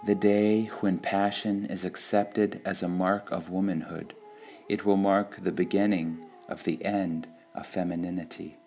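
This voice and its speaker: A man reading devotional sayings from a script in a measured, deliberate way, pausing between short phrases. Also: low-pitched, little pitch movement, darkish tone, soft background music. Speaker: reader of spiritual quotations.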